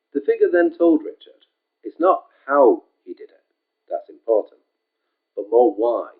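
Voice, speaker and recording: A man reading his own short story aloud in a casual, homespun way, leaning often on the word "just". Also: mid-range voice. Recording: tinny phone-like sound.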